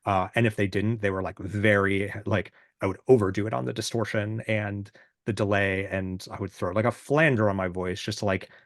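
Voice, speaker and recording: A man with a deep, booming voice talking casually and reflectively as an interview guest, halting and self-deprecating as he explains his music. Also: fairly even intonation, dry recording, clean studio sound.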